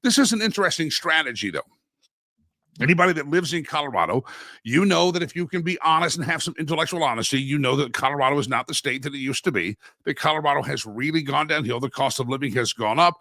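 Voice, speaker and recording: Stuffy-voiced male talk host, bright tone. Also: fairly high pitch, lively intonation, quick pace, dry recording. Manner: folksy, chatty, many restarts and asides. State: stuffy head cold, voice a bit sore. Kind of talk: casual political talk show monologue. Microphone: studio microphone.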